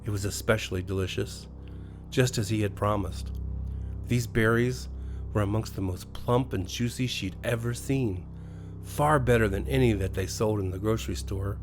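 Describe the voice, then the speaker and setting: A man with an American accent reading a scripted bedtime horror story in an expressive, dramatic way. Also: lowish voice, normal speed. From USA. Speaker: storyteller, narrator. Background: faint music.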